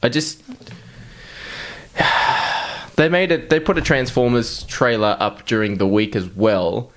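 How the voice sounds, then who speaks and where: A man's voice: fairly low pitch, gravelly. A man co-hosting a podcast in casual, blokey, sweary chat. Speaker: podcast co-host.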